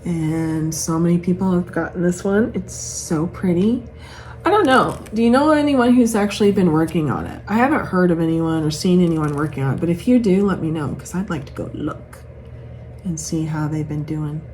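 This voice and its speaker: Low-pitched chatty woman with background noise. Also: normal speed, a little mellow. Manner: chatty, gushing, thinking aloud. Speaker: cross-stitch vlogger showing patterns. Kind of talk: casual chat to camera. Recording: clearly noisy room ambience.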